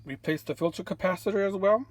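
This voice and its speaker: A man giving an unscripted show-and-tell of his restoration work in a home workshop, relaxed and full of fillers and restarts. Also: high-pitched, fast, dry recording. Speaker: vintage radio restorer.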